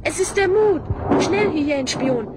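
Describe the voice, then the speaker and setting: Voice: very high-pitched, lively intonation, a little slow. An actor playing an earnest, commanding young hero with declamatory delivery in a German fantasy audio play. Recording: loud background noise.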